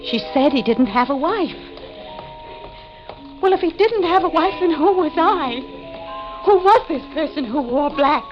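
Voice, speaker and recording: An actress playing a distraught, insistent wife who has lost her identity, in melodramatic 1940s radio mystery style. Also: high voice. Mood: haunted. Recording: muffled old 1940s radio recording, organ music.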